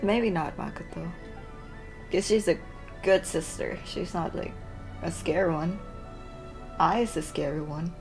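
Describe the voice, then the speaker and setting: Low-pitched woman streamer, unhurried. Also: over music. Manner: musing aloud, reacting to the game. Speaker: game streamer. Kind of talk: casual let's play commentary.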